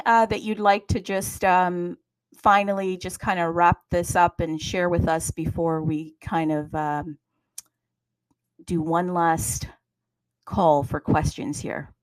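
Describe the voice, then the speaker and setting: A woman's voice: on the low side, slow, long pauses, dry recording, rough recording. A woman hosting a live online audio space, talking casually and rhetorically, often posing questions and answering them herself. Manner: animated, rhetorical, asks and answers own questions. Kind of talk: casual, unscripted community talk.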